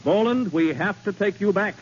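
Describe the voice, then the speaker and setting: An actor playing a steady, authoritative man leading a capture party in a 1940s radio drama, firm and measured. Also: high voice. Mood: urgent pleading. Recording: noisy, dull 1940s radio recording.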